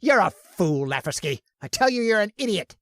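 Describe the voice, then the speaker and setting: A woman's voice: mid-range voice, sing-song, moderate pace, fairly bright tone, no room sound, studio quality. A woman playing an obsessive, arrogant male scientist who bosses his assistant around and sneers at him. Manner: bossy and domineering, gloats and sneers. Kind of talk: old-time radio horror acting.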